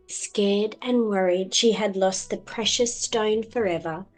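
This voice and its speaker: Lady reading a kids book aloud, slow and really expressive, some music behind her. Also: mid-range voice, poor audio. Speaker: children's book storyteller. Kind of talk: expressive scripted storybook narration.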